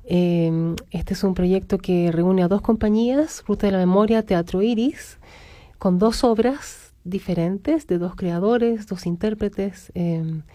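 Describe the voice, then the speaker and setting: A Chilean woman with a Chilean accent from a theatre company, speaking gratefully and measured as a radio guest. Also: medium pitch, dark tone, dry recording. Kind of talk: radio interview.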